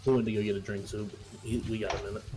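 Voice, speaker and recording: A man's voice: lowish voice, level tone, racing. A man chatting informally as a player in an online tabletop game. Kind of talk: casual chat during an online game. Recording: music playing behind the voice. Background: music.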